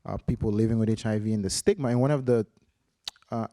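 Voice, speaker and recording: A man's voice: lowish voice, fast, dark tone, no echo, clean studio sound. A man in his forties from Haiti, a researcher on a conference panel, telling his story earnestly with many restarts and fillers. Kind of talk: panel discussion, personal story.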